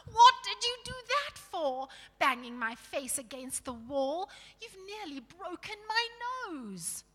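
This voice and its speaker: A woman voicing a surly, suspicious dwarf in a storybook reading, barking gruffly with blustering, colloquial grumbles. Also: a little high, lively intonation, a little slow, sharp tone, in a large hall, heavily acted. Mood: indignant.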